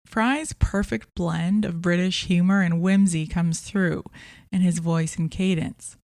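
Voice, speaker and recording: A woman's voice: on the low side, fairly even intonation, a little slow, creaky, a little mellow, no echo. A woman hosting a review podcast, speaking in a scripted narration style. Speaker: podcast host and audiobook reviewer.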